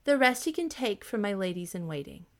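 A woman's voice: mid-range voice, very animated, a little fast, a bit bright. A woman voicing a haughty, spoiled young princess in a storybook reading, imperious and fussy. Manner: imperious, wailing when upset.

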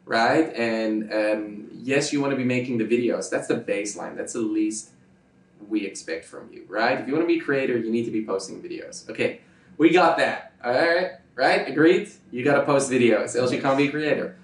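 A man's voice: medium pitch, normal speed, far from the mic. A Dutch man whose first language is Dutch, a YouTuber answering interview questions, emphatic and repeating his points. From Netherlands. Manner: emphatic, repeats points, checks agreement with "right?". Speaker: YouTuber guest. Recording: roomy sound from off-mic.

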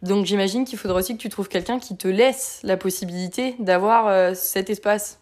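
A woman's voice: mid-range voice, normal speed, darkish tone. A woman of twenty-four in a casual conversation, asking questions with hesitant fillers.

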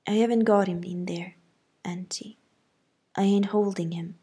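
A stubborn young girl with a quick temper, voiced by a woman narrator close to her own voice. She answers back defiantly. The tone is breathy. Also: medium pitch, fairly even intonation, dark tone. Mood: sulky. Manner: defiant, outburst-prone.

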